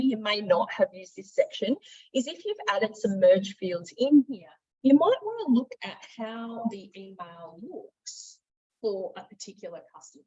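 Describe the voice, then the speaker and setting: A woman trainer walking through software in an informal, instructive, conversational way, often thinking aloud. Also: medium pitch. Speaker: software trainer, marketing and sales director. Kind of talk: informal software tutorial with screen demonstration. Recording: dull, muffled lo-fi audio.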